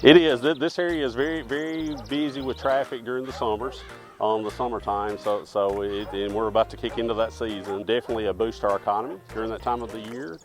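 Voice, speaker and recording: A man's voice: mid-range voice, fairly even intonation, quick pace, soft background music. A man, a town mayor being interviewed outdoors in a park. He talks in a friendly way and often repeats words as he starts a phrase.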